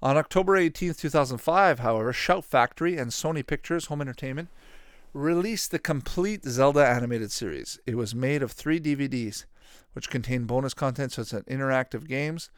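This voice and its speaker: A man's voice: medium pitch, fairly quick, smooth, no echo, clean studio sound. A man in his thirties hosting a podcast, talking casually and informally in a rambling, repetitive way. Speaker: podcast host. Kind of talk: casual solo commentary on retro cartoons.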